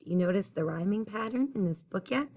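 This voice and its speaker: A woman teacher reading a picture book aloud to young children in an expressive, sing-song, encouraging way. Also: mid-range voice, a little fast, slight background noise. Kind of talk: children's story read-aloud, addressing young listeners.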